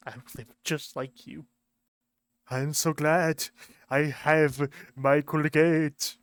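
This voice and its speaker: A man voicing a pompous, grandiose communist leader in a put-on foreign accent that keeps changing, declaiming slowly. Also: fairly high pitch, flat delivery, clear, resonant tone, no room sound. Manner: grandiose, slow declaiming speeches. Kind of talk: amateur lore video skit.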